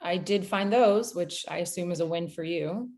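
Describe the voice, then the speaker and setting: A woman's voice: fairly low pitch, normal speed, a little mellow, natural voice. A woman playing a guarded, defensive character under pressure in a screenplay table read.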